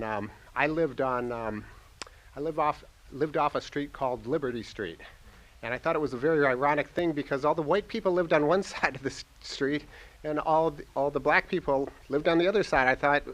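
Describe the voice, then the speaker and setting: A man's voice: on the high side, brisk, a bit bright. A man in the audience telling a reflective personal story. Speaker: audience member.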